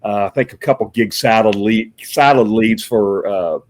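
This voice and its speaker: A middle-aged man with a booming voice speaks as an interview guest. He answers casually, often stopping on "uh" and starting his sentences over. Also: normal speed, darkish tone. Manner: booming voice, filler-heavy with uhs and restarts. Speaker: professional Santa Claus performer, guest.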